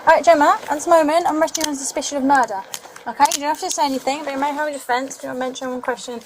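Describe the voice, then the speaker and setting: Noisy bodycam audio, policewoman, high pitch, very rapid official delivery. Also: steady tone. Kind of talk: reciting an arrest caution.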